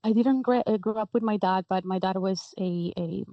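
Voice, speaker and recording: A woman's voice: medium pitch, normal speed, no echo. A young woman from Guatemala, a guest in an interview, telling her story fluently. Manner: warm laughs, fluent storytelling. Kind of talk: interview answers. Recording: dull, dry sound.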